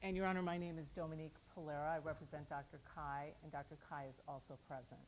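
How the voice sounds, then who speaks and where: Woman attorney, low voice, has a cold, formal and brisk argument. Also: level tone, a little hiss or hum, poor audio. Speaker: defense attorney. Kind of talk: legal argument.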